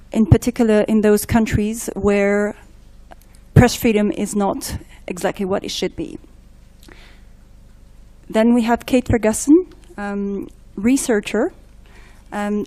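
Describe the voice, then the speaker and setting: A woman moderating a panel discussion, introducing speakers with frequent ums and pauses. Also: mid-range voice, mellow. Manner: hesitant, many ums and restarts.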